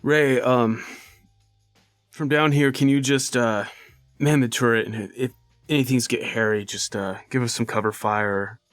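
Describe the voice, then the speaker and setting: A man playing a tabletop roleplaying game, talking casually and haltingly as he thinks aloud. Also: medium pitch, fairly even intonation, no echo, clean studio sound, soft background music.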